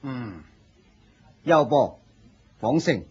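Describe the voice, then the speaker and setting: A man's voice: medium pitch, lively intonation, very slow, a little mellow, breathy edge, muffled recording, full character voice. A man with a Hong Kong Cantonese accent playing a stern, pompous and zealous eldest disciple in a radio drama, authoritative and dogmatic. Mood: solemn, pompous.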